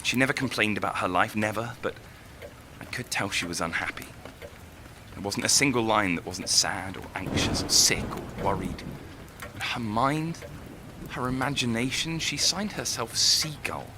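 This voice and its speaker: An actor in an audio drama playing a brooding, lovelorn young writer, speaking in a hesitant, reflective way. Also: male voice, on the low side, animated, moderate pace, background noise, close to an everyday voice. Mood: melancholy, wistful.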